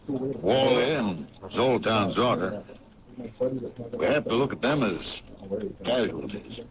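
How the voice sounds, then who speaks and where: Through a dull, noisy old radio broadcast comes a weary police captain, low in pitch. He is pragmatic and resigned, measuring out his words with weighty pauses in 1970s radio drama style. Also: animated, crowd noise. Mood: grave, resigned.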